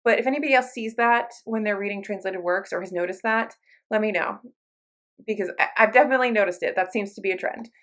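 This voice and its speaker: A woman's voice: medium pitch, fast, a bit bright. Chatty woman hosting a book vlog. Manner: informal, self-interrupting, conversational. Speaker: book reviewer, video channel host. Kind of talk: casual chatty vlog talk to viewers.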